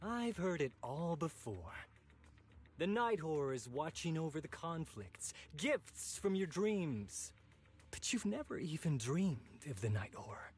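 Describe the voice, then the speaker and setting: An actor playing an ambitious, ruthless zealot in a video game, husky-voiced, bitter and taunting in a theatrical way. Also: male voice, a little high, very animated, unhurried, soft background music. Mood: contemptuous, menacing. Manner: theatrical, taunting, sneering villain delivery. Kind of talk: dramatic video game voice acting.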